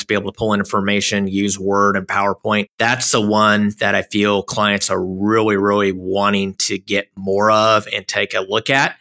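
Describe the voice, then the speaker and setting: A man with a fairly low voice and a bright, forceful edge. He talks as a consultant on a business podcast, laying out points in loose, informal phrasing. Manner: informal phrasing with frequent "kinda" and "you know". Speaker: technology consultant guest. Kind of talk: explanatory business podcast talk.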